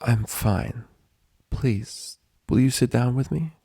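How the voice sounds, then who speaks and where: A man's voice: medium pitch, slow, warm tone, dry recording, studio quality, voice pushed up. A man voicing a regal female pony princess in a story reading, weary, grave and reserved. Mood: grave, subdued. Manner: subdued, reserved.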